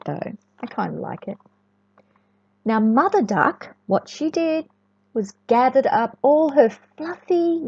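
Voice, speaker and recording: A woman reading a storybook to young children in an expressive, sing-song way, often chatting and asking the listener questions. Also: a little high, creaky, breathy edge. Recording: echoey room, dull lo-fi sound.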